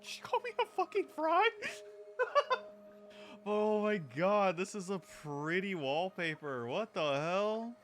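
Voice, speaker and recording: An excitable, sweary streamer reacting live to a game. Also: medium pitch, slow. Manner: excitable, profane.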